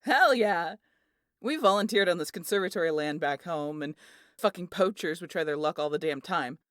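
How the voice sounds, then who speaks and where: A woman's voice: on the low side, moderate pace, dry recording, clean studio sound, close to an everyday voice. A woman playing a brash, teasing, big muscular aunt in an ASMR audio drama, a swaggering storyteller. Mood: gleeful, boastful. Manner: swaggering, foul-mouthed, animated storyteller.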